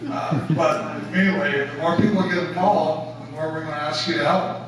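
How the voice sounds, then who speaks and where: A man speaks to a community meeting in a flat, low-key way about getting the group organized. His slightly raised voice is heard from far away in a booming, echoey room, with a steady background hum. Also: normal speed.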